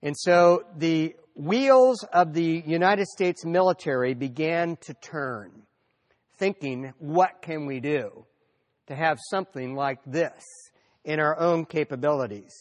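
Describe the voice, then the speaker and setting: A middle-aged man with a slightly high voice lectures an audience, telling history as a story. He talks conversationally, with fillers and restarts as he goes. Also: takes it slowly.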